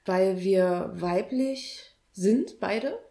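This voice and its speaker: A woman in her thirties from Berlin co-hosts a relaxed podcast chat. She talks in a bubbly, playful way with a mid-range voice. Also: mid-range voice, extremely slow. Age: 30s. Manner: bubbly, playful, laughs often. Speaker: podcast co-host. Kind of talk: casual chat.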